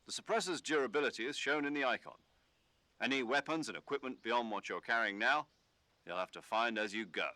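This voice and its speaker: A military commander from a video game, played by a man, calm and in full authority. His voice is crisp-edged and bright, recorded very dry. He briefs in a measured, instructive way. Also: medium pitch, no echo, natural voice. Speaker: mission commander giving radio briefing. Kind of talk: scripted video game voice acting.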